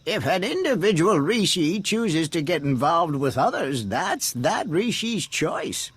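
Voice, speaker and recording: A man voicing a courteous bird-like alien villager in a video game, speaking in formal, deliberate phrases. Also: fairly high pitch, sing-song, a bit bright, husky, no echo, full character voice. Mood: measured, detached. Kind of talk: video game character acting.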